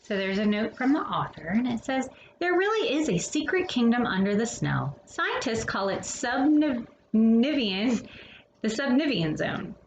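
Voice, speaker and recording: Expressive woman storyteller, higher-pitched, roomy sound. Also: unhurried, dull audio. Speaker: storytime host reading a picture book aloud. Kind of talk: expressive children's storybook reading. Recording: roomy echo with faint background noise.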